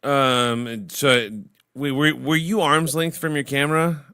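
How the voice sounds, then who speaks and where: A man's voice: flat delivery, measured pace, pushed and bright, smooth, no echo, studio quality. A man hosting a livestream in casual, rambling chat, full of crude jokes and filler words. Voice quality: baritone. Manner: rambling, crude joking banter. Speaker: livestream host.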